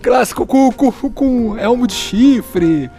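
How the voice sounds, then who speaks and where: Meandering Brazilian man, high voice. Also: expressive, slow pace, music in the background. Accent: Brazilian Portuguese. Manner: meandering, searching for words, chuckling. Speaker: guest, fellow tabletop player. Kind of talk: casual storytelling. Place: stairwell of an apartment building.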